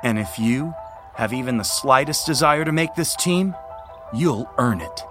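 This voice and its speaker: A man's voice: mid-range voice, lively intonation, unhurried, music in the background, close to an everyday voice. An actor playing an arrogant, domineering young male college rowing captain in an audio drama, commanding and projecting. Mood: contemptuous, bullying. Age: young adult. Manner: pompous, commanding, projected speech. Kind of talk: scripted audio drama acting.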